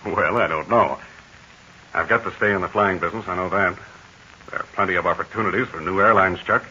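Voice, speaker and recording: An actor playing a calm, fatherly heroic aviator in a 1940s radio serial, speaking in measured, assured tones. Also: deep voice. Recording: dull scratchy 1940 radio transcription.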